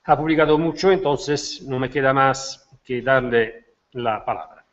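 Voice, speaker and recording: A man with a mid-pitched voice makes a formal introduction as session chair. The sound is somewhat dull and muffled. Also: unhurried.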